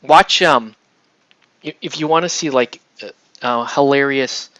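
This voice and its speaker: A man chatting casually on a livestream while he paints miniatures, rambling and excitable, often breaking off mid-sentence. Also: medium pitch, unhurried, no room sound, lo-fi sound. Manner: rambling, excitable, self-interrupting with laughs.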